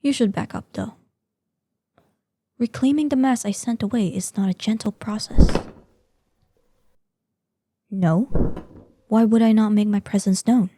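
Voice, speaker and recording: A woman acts out an ancient dragoness for a fantasy audio drama, proud and imperious. The voice is very dark and soft-edged, recorded close and dry. Each formal, archaic phrase comes slowly and with measured weight. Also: medium pitch, no room sound, lightly acted. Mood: haughty, indignant. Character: proud ancient female dragon in human form. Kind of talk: scripted roleplay audio drama monologue.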